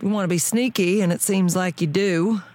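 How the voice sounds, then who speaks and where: A woman's voice: on the low side, normal speed, a little mellow, slight background noise, lightly acted. A woman playing a world-weary, plain-spoken radio tinkerer with a folksy frontier manner in an audio drama. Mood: knowing, practical.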